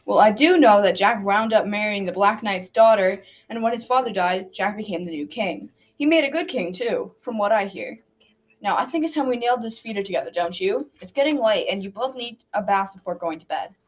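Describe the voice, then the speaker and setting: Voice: mid-range voice, fairly quick, natural voice. A young student voicing a kindly old grandfather who tells folktales in an amateur radio drama. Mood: storytelling, kindly. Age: about 12 to 14.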